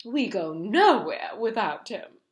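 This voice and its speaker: A polite lady giraffe speaks up firmly and proudly, voiced by a woman reading a storybook. Her voice sits high and carries. The room is echoey. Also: sing-song, slow pace. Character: polite confident female giraffe.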